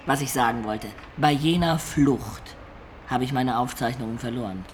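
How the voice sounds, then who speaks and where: An actor as a roguish, reflective storyteller. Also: male voice, medium pitch, normal speed, a little hiss or hum, lightly acted. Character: roguish storyteller of peasant birth recalling his life. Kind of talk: radio drama narration.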